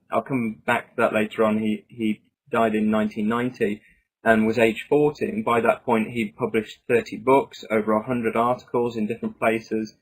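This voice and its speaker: A man's voice: medium pitch, husky, rough recording. A man presenting his own book as an author and researcher, talking through slides in a conversational, enthusiastic way with rambling asides.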